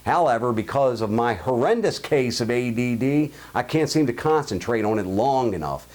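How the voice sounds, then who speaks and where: A man's voice: medium pitch, animated, moderate pace, darkish tone. Blunt Baltimore man ranting. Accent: Baltimore (Highlandtown). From Baltimore, USA. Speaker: show host. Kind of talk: opinion monologue and listener mail.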